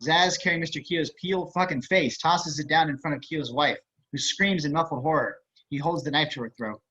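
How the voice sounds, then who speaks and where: A man's voice: on the high side, normal speed, bright, forceful tone, breathy edge. A man reading screenplay action as scripted narration over a video call. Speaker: stage directions reader. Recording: slightly muffled video-call audio.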